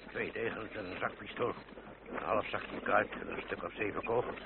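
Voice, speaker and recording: Heavy noise and a phone-thin band surround a gravelly, wary old ex-soldier. He is a gruff uncle figure in an old radio drama, speaking at a middling pitch. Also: normal speed. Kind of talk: radio play acting. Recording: very noisy, narrow old radio recording.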